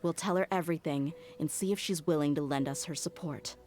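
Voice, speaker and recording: Lady playing a loyal mercenary woman in a game, lowish voice, firm and frank. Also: fairly quick, darkish tone, faint music behind, close to an everyday voice. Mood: resolute. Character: loyal, steadfast female mercenary, frank and resolute. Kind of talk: video game character acting.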